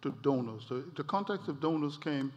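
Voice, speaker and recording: A man moderating a conference panel, summing up points in a measured way with small repeats. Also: a little high, expressive. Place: conference hall. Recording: long reverb, slightly dull sound.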